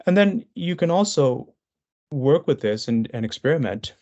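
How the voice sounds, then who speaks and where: A man's voice: medium pitch, animated, moderate pace, dark tone, dull audio. A man presenting a business webinar over a video call. He talks conversationally through his slides, with frequent fillers and restarts.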